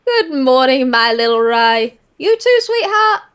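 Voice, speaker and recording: A woman's voice: sits high, very animated, measured pace, a bit bright, heavily acted. A woman voicing an affectionate, doting mother detective, a furry fantasy creature, in a role-play. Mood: tender.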